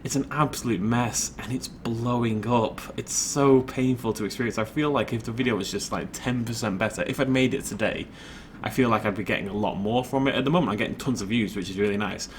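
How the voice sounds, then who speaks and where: A man's voice: on the low side, fairly quick, hardly any pauses, a little hiss or hum. A man casually rambling while commenting on his own gameplay, chatty and self-deprecating. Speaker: let's play host.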